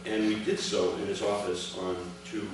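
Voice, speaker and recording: Echoey, distant, low-voiced man reading aloud. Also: little pitch movement. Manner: measured public delivery in short, separated phrases. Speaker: reader of his father's written memoir at a commemoration. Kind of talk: reading a prepared first-person account aloud to an audience. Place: large echoey room at an event, recorded from afar. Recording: echoey room, distant microphone, clear background noise.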